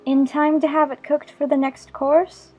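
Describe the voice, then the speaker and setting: A high, girlish storybook voice acted by a woman, polite and full of frank questions. The young girl sounds curious and well-mannered. The recording is a little dull, with faint music underneath. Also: flat delivery, a little slow. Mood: puzzled. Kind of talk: dramatized audiobook acting.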